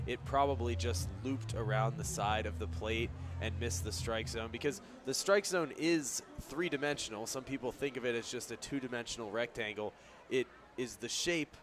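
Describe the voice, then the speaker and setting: A man giving conversational, explanatory color commentary during a live baseball radio broadcast. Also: mid-range voice. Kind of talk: conversational live game analysis. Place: outdoor baseball stadium. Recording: clearly noisy outdoor stadium background. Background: outdoor ballpark ambience.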